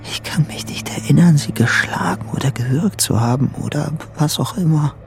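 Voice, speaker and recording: A man's voice: a little high, moderate pace, soft background music, natural voice. An actor playing a troubled, delusional man on the run, murmuring his thoughts to himself in a radio drama, often half-whispering. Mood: shaken, despairing. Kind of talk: radio drama inner monologue.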